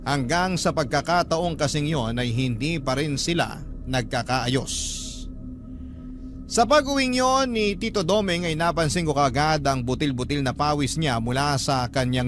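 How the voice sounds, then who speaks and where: A man acting as a sorrowful, respectful young storyteller in a radio drama, narrating politely and in a measured way with a Filipino accent. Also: medium pitch, natural voice. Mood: somber. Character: sorrowful young man recounting his uncle's death, respectful. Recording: music bed under voice.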